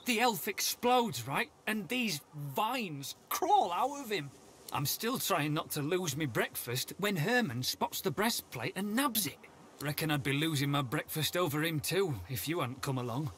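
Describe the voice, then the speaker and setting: A rattled rough soldier. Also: male voice, on the high side, expressive, measured pace, fairly bright tone, slight background noise. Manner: coarse, rattled. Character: rough-spoken shaken male soldier. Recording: game audio under music.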